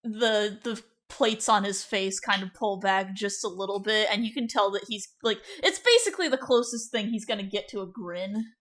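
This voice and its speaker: A woman's voice: medium pitch, normal speed, few pauses, a bit bright. A woman narrating as game master in a tabletop roleplaying game, casual and loose, with fillers.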